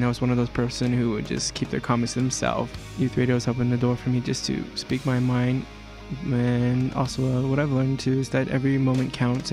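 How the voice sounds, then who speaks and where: A young man speaks earnestly about his own experience, stumbling and restarting now and then. His delivery is flat and steady, with a tone in the middle of the male range. A guitar bed plays clearly beneath him. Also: medium pitch. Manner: earnest, halting with repeated restarts. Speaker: youth radio reporter. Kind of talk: personal testimonial. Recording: music bed behind the voice.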